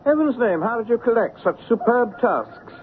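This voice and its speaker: An actor playing an imperious, cynical colonial company director with a pompous, condescending manner in a 1970s radio drama. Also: male voice, very high voice, expressive, moderate pace, narrow, tinny audio. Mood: sly, pleased. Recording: thin, faintly noisy old radio broadcast.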